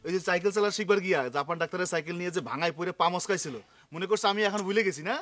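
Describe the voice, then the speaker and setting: High-voiced eager village man. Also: racing, a bit bright, no echo. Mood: excited. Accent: rural Bangladeshi dialect. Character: eager, naive village man, envious of his friend. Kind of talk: comic TV drama acting.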